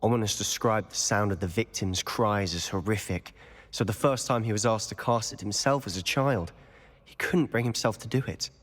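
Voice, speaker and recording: A brooding teenage wizard, played by a man in a video game. His voice sits fairly low, and he speaks in a serious, persuasive, confiding way. Long reverb rings around it. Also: moderate pace, natural voice. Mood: grave, confiding. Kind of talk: video game cutscene acting.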